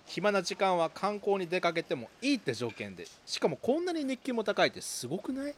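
A man's voice: high-pitched, sing-song, a little fast. A man playing an upbeat young university student who narrates and chats in an amateur radio drama. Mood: eager. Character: upbeat young male university student recounting summer memories.

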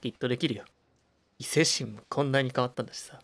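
Man playing a wry, mid-pitched offhand buddy. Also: quick pace, vocal fry, a bit bright. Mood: reassuring. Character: wry, laid-back, grudging male buddy. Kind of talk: amateur comedy radio drama.

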